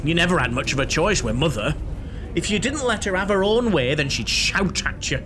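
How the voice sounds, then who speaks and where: A man's voice: a little high, big pitch swings, fairly quick, noisy background. An actor in an audio play voicing a chatty, lonely, apologetic middle-aged Northern English man, naive and eager to please. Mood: wistful.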